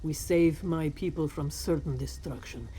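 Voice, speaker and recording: An actress playing a fierce, battle-hardened cat-folk fighter in a fantasy video game, with cool, deliberate, menacing swagger. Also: female voice, deep voice, warm tone, a little hiss or hum, natural voice. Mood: grave. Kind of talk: video game voice acting, dramatic fantasy dialogue. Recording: game audio with faint background ambience.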